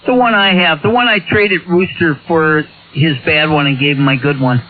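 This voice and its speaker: A man's voice: fairly high pitch, normal speed, no room sound, narrow, tinny audio. A plainspoken man, chatting casually over CB radio. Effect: radio transmission. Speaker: CB radio operator. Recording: thin, band-limited CB radio signal.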